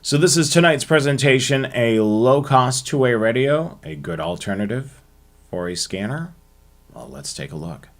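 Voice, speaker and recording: An American man hosting a hobby show, presenting to viewers in a halting way with frequent ums and uhs. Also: medium pitch, lively intonation.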